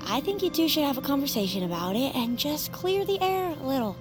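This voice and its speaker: Lively, high-voiced teenage girl. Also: fairly quick, over music. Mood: reassuring. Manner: casual, emphatic, coaxing teen talk. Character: chatty, supportive, irreverent teenage girl friend. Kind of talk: naturalistic audio drama acting.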